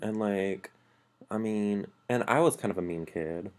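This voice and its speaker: A man's voice: fairly low pitch, measured pace. A man co-hosting a podcast, telling stories from his school days in a casual, chatty way with lots of "like"s. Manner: chatty, filler-heavy storytelling with many "like"s. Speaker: podcast co-host. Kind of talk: casual storytelling chat.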